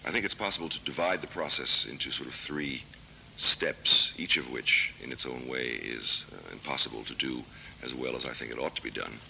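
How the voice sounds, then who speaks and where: An American man in his thirties, a literary translator, answering interview questions in a thoughtful, measured way with many hesitations. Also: very deep voice, vocal fry, pushed and bright, slight background noise. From United States. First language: English. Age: 30s.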